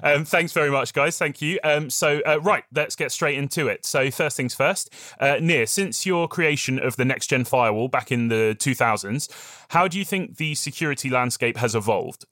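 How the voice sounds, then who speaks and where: A man's voice: medium pitch, bright, forceful tone, dry recording, studio quality. A man hosting a tech podcast, moving from a scripted introduction to casual, friendly chat with his guests. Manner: brisk, friendly, presenter-like. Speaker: podcast host.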